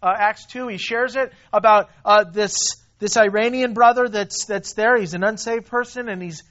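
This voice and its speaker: A man preaching a sermon to a congregation, emphatic and repetitive, swinging between loud stresses and quiet asides. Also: high voice, normal speed, highs cut off. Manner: emphatic, repeats himself, rises and drops in volume. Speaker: pastor.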